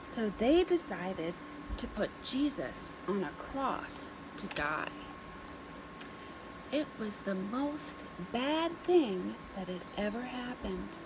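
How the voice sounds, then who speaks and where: A woman reading a story aloud to young children in an expressive, deliberate way, with simple phrases and dramatic pauses. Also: medium pitch, background noise.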